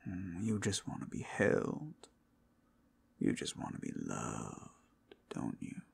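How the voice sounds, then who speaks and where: A man playing a big bad werewolf as a tender, protective lover in an intimate ASMR roleplay, crooning soothingly in a gravelly, breathy half-whisper. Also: low voice, wildly swooping pitch, takes it slowly, full character voice. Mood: tender, teasing. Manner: soothing, crooning, coaxing with pet names.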